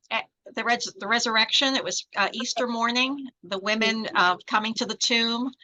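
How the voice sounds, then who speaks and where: A woman's voice: a little high, normal speed, pushed and bright, poor audio. A middle-aged American woman, a law professor, reminiscing in conversation with many fillers and restarts. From United States.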